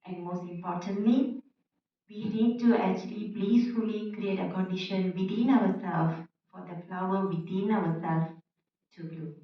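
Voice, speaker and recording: A woman giving a measured, earnest, reflective talk as a participant at a retreat. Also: medium pitch, fairly even intonation. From Malaysia. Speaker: retreat participant sharing a testimonial. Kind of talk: reflective public sharing. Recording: echoey hall, distant dull mic.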